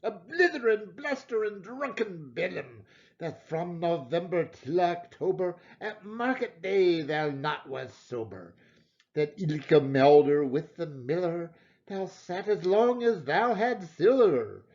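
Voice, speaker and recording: A man giving a theatrical, expressive reading of a narrative poem in Scots dialect. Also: high-pitched, slow pace, lo-fi sound. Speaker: poetry reader.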